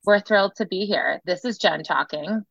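A woman's voice: fairly low pitch, normal speed, creaky, a bit bright, no room sound. A young woman co-hosting a podcast, chatting casually with a scratchy voice worn from teaching, laughing often and saying "like" a lot. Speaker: podcast co-host, spin instructor. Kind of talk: casual chat. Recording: dull lo-fi sound.

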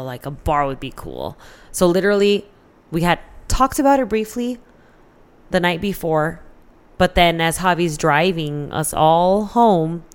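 A woman's voice: low-pitched, clear, resonant tone. An American woman chatting casually as an interview guest, candid and informal, swearing freely as she tells personal stories.

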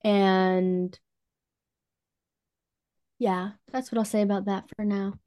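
A woman's voice: medium pitch, little pitch movement, slow, mellow. A woman co-hosting a podcast, talking about books in an informal, conversational style with casual slang. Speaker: podcast co-host. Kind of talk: casual book chat.

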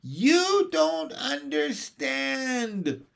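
Storybook infant: male reader as a wilful little boy, plaintive, high and slow. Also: expressive, vocal fry, a little mellow, full character voice. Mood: furious. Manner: plaintive, wailing. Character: wilful, upset baby boy twin.

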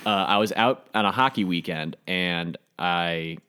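A man's voice: deep voice, a little slow, sharp tone, clear, resonant tone. A young man co-hosting a podcast, telling a childhood story in a rambling, dramatic way. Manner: rambling, many fillers and restarts, dramatic. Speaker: podcast co-host. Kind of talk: casual storytelling chat.

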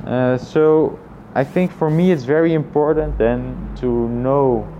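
Man vlogging over dull, noisy lo-fi audio. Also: mid-range voice. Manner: halting, thinking aloud with repeated phrases. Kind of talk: casual reflective monologue, personal vlog.

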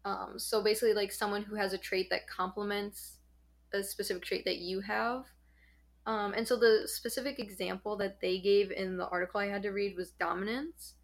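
A woman's voice: mid-range voice, fairly even intonation, normal speed. A young woman hosting a podcast, casually explaining a psychology topic with frequent ums and likes. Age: young adult. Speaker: podcast host.

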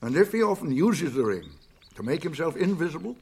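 Wise old british wizard for radio drama, husky, swooping pitch, grave but kindly, takes his time. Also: sing-song, full character voice. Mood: grave, ominous. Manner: deliberate, pausing, murmuring hums and chuckles.

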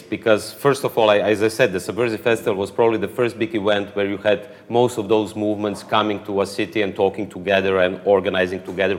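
A low-voiced man with a Croatian accent answers interview questions in English, his second language. He talks at length, stumbling through frequent "uh" fillers and self-corrections. His voice carries a long reverb tail. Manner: hesitant, frequent "uh" fillers and restarts. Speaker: interview guest, political activist.

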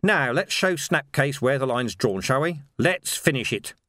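A gruff, dogged male watch commander , as acted out by a male narrator in a storybook reading. He sounds weary and croaky, giving blunt, clipped orders in a close, dry sound with lively swings of pitch. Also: a little slow, no echo. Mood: grimly resolute. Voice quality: growling, croaky.

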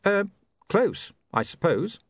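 A man's voice: sits high, sing-song, slow pace, fairly bright tone, no echo, voice pushed up, heavily acted. A man voicing a flustered, guilty young newspaper man with a hesitant, apologetic manner in an audiobook. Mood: awkward, reassuring.

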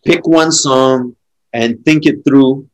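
A middle-aged man from France with a French accent, a drummer chatting casually and telling animated stories full of restarts. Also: medium pitch, slow, poor audio. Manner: animated storytelling with frequent restarts. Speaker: drummer and podcast guest. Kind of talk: casual conversation.

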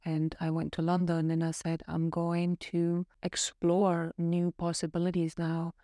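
A woman's voice: lowish voice, completely flat delivery, measured pace, warm tone, dry recording, clean studio sound. A woman from Austria whose first language is German, speaking English on a podcast and telling her own story in a reflective, earnest way. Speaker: podcast co-host. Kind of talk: casual podcast conversation.

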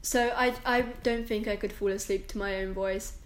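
A woman's voice: mid-range voice, fairly even intonation, moderate pace, echoey room. A young woman hosting her own podcast, ranting casually in a strong, confident voice, full of likes and restarts. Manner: rambling, chatty, self-interrupting with likes and restarts. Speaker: podcast host. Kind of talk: casual solo rant. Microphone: camera and microphone, recording herself.

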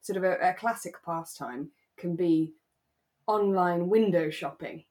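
A woman co-hosting a podcast in a relaxed, casual conversational style. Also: fairly low pitch, a little slow. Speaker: podcast host; actor. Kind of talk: relaxed casual chat about a radio drama.

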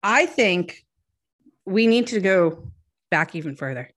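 A woman's voice: lowish voice, expressive, takes it slowly, fairly bright tone. A woman hosting a podcast interview, friendly and teasing, laughing easily and affirming her guest. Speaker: podcast host. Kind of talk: casual interview chat.

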